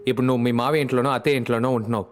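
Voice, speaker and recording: Fast, curt actor as a commanding male detective. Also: medium pitch, steady tone, close to an everyday voice. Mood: blunt, probing. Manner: curt, commanding, matter-of-fact. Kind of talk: film drama dialogue. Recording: long echoing reverb, film music underneath.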